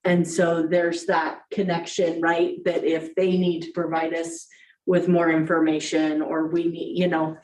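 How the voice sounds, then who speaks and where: A woman's voice: lowish voice, level tone, measured pace, a little mellow. A woman on a school board thinking aloud with many fillers, giving her view at a meeting. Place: large meeting room. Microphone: desk microphone from afar. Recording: echoey room, distant mic, faint hiss.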